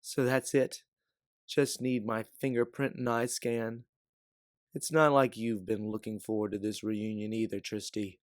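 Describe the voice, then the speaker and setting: Scarred older mercenary, read by a man, measured and weary, low-key, dry sound. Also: dry recording, natural voice. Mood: curt. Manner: measured, explaining calmly. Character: aged, scarred mercenary man, calm, weary, self-justifying.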